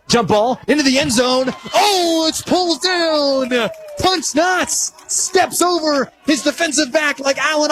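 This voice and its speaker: A man doing live radio play-by-play of a football game, descriptive and conversational, getting animated on big plays. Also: extremely high pitch, a little hiss or hum. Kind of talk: live radio sports commentary.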